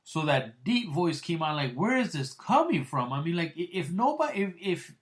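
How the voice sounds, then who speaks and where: Man, podcast host, casual boxing talk, rambling, crude, loud bursts then mutters. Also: on the high side, sing-song, moderate pace. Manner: rambling, many restarts and fillers, blunt and crude.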